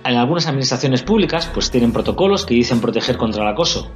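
A man's voice: mid-range voice, expressive, fast talker. A man explaining labour issues in a serious, expository style, as a union member answering questions. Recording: clear music bed behind the voice. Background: music.

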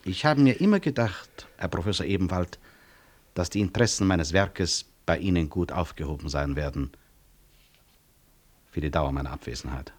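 An actor playing a dignified doctor and professor in a German radio play, dryly ironic and measured. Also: male voice, sits low. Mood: polite, firm. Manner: measured, dry irony. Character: wry, dignified professor and doctor, unbowed after prison.

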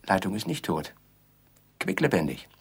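An actor playing a placid, defensive bridegroom in an absurdist radio play, musing and mildly pompous, with a husky voice. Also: male voice, sits low, sing-song, moderate pace, heavily acted. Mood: mild, self-assured. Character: placid, defensive bridegroom, absent-mindedly insisting on their happiness.

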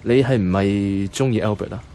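A man's voice: fairly low pitch, normal speed, dark tone, natural voice. Actor as easygoing Cantonese-speaking male office colleague. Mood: casual, probing. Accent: Hong Kong Cantonese. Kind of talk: radio drama dialogue.

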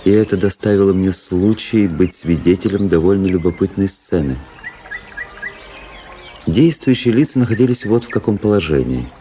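A man acting a cool, self-analysing young gentleman officer who narrates a radio play in a measured, detached manner. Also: deep voice, slight background noise, close to an everyday voice. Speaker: narrator and lead character.

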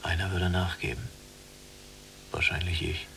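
An actor playing a yielding, resigned younger prisoner in a radio drama, with terse, dry phrasing. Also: male voice, deep voice, steady tone, measured pace, vocal fry, pushed and bright, exaggerated voice. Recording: distant mic, noisy hum.

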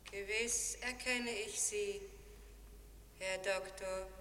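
An actress playing a cold, implacable secretary in a dreamlike 1950s radio play, speaking formally with detachment. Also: female voice, mid-range voice, fairly even intonation, slow, sharp tone. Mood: coolly formal. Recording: echoey hall, distant, noisy old radio.

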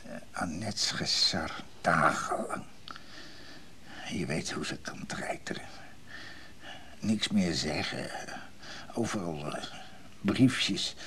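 An actor playing a troubled, worn-out hospital patient in a radio drama, speaking in a halting, subdued manner. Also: male voice, sits low, fairly bright tone.

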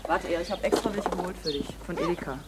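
An actress playing a helpful, practical TV production assistant in a naturalistic radio play, recorded away from the microphone. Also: female voice, on the low side, rapid-fire, natural voice. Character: helpful, slightly doubtful female TV production assistant. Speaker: film assistant and sound recordist character. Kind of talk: naturalistic radio drama acting. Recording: very noisy, distant from microphone.

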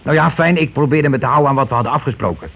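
An actor playing a scheming, money-grabbing husband and landlord in a radio play, talking in wheedling, self-justifying colloquial patter. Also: male voice, medium pitch, very fast, fairly bright tone, breathy edge. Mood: smug, self-justifying. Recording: noisy old radio drama recording.

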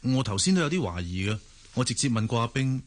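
A man's voice: medium pitch, expressive, fast talker, lightly acted. An actor playing an earnest, inquisitive young man in a radio drama, polite, with a Hong Kong Cantonese accent. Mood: calm, polite. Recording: music behind the voice.

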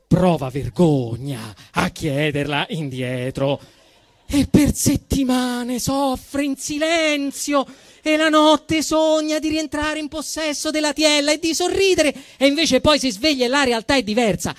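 A man with a Roman Italian accent performing a theatrical comic monologue in an emphatic, mock-dramatic way, drawing out phrases and then rushing. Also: extremely high pitch, a bit bright, no echo.

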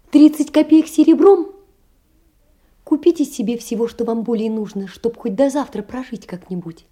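A woman's voice: on the high side, mellow, breathy edge. An actress playing a poor young woman, anxious and tenderly caring, pleading earnestly and often sinking toward a whisper in an audio drama. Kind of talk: theatrical reading of letters in a stage radio play.

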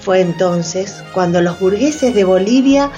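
A radio theatre narrator voiced by a woman, telling the story in a measured, scripted way. The voice sits at a middle pitch, with faint music underneath. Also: expressive, mellow. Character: narrator of a historical radio satire. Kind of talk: scripted dramatized narration in Spanish.

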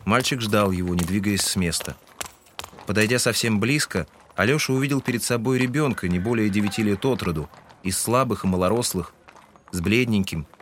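A man's voice: on the low side, slight background noise. A man giving scripted literary narration in an audio drama. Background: music.

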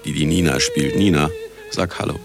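Grumpy older scientist guy, low voice, theatrical and very animated, radio play with some music. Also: moderate pace, vocal fry, exaggerated voice. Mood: wry, commanding. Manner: sardonic, theatrical, commanding. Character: irascible older male scientist who narrates his own radio play. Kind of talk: German radio play acting.

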